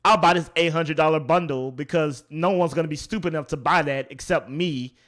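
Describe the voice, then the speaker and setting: A male podcast host with a voice a little higher than average. He chats casually in a playful, slangy style with a teasing edge. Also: fairly quick, a bit bright. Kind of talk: casual chat.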